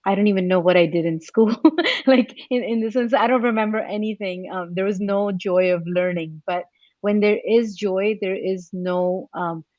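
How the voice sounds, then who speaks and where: A woman speaking as a panelist in a casual, reflective way, pausing as she thinks. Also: medium pitch, a little mellow, no echo, muffled recording. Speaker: podcast panelist.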